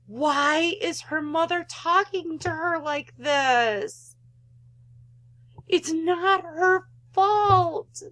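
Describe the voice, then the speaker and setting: A woman's voice: extremely high pitch, very slow, a bit bright. A woman hosting a gaming video, reading a visual novel aloud and reacting with emotional investment. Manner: emotionally invested, protests to the game. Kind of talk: read-aloud narration with personal reactions.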